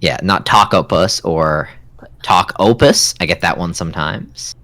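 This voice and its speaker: A man's voice: low-pitched, unhurried, a bit bright, no room sound. A man co-hosting a podcast in casual, conversational live chat. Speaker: podcast co-host.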